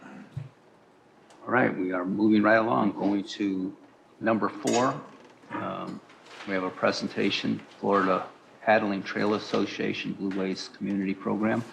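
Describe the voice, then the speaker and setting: A man chairing a city council meeting and moving through the agenda briskly. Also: lowish voice, fairly even intonation, vocal fry, slight background noise, low-quality recording.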